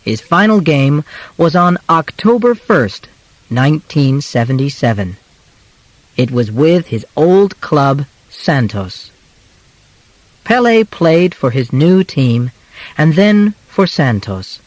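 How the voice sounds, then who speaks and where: A man reading a simple scripted biography as an audiobook, in deliberate, clearly separated phrases. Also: a little high, very animated, no echo, poor audio. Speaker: audiobook narrator.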